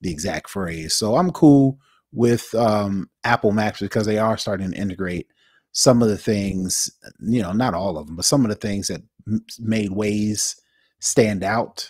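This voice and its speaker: A man's voice: fairly low pitch, unhurried, darkish tone, dry recording, studio quality. A man co-hosting a tech podcast, chatting casually and hesitantly with many fillers. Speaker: podcast co-host.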